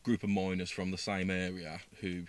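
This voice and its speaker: A man's voice: sits low, flat delivery, normal speed. A man with a Birmingham accent, an actor talking about his stage role in a casual interview, hesitant with many fillers and restarts.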